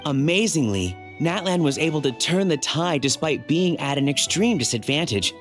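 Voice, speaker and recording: A man's voice: on the high side, natural voice. An actor playing an enthusiastic male researcher explaining legends in a video game. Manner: expository, enthusiastic lecturing. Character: adult male researcher, eager history enthusiast.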